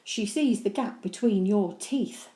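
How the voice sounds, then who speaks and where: A woman's voice: medium pitch, unhurried, mellow, roomy sound, close to an everyday voice. A woman voicing a patient, affectionate mother of young boys, emphatic and motherly, in a story. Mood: explaining.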